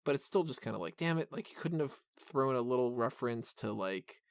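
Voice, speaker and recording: A man with a clean, smooth voice at an ordinary pitch hosts a casual film podcast. He rambles informally like a keen fan, with the odd swear word. The sound is dry and close, with very little room. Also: animated, fairly quick, no room sound. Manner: rambling, informal, mildly profane fan talk. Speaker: podcast host reviewing films.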